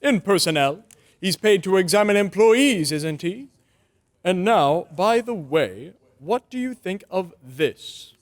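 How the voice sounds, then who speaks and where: A man acts out a flustered department store manager from an old-time radio play. His voice is high and swoops widely, yet he takes his words slowly. He stammers and exclaims in nervous excitement. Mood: coaxing.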